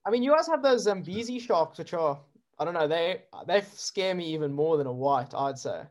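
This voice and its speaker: A man's voice: on the high side, normal speed. A man from South Africa, a surfer who films with drones, chatting casually in an interview with lots of fillers. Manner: relaxed, chatty, many fillers and laughs.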